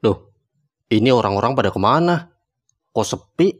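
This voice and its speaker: A man voicing a suspicious, curious young man in an animated horror comedy, talking in casual slang. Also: medium pitch, normal speed, lightly acted.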